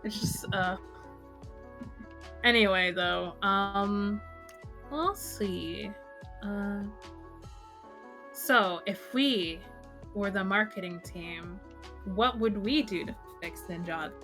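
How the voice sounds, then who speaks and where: A woman's voice: medium pitch, slow, long pauses, pushed and bright. A woman hosting a casual podcast, talking in a rambling, informal way with lots of "like". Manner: rambling, heavy use of "like". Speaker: podcast host. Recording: music behind the voice.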